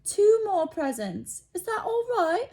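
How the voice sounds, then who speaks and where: A woman voicing a doting, anxious storybook mother, by turns cooing and sharp. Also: extremely high pitch, sing-song, slow, pitched above the performer's own voice, heavily acted. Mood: cooing, placating. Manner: cooing to her son, sharp with others.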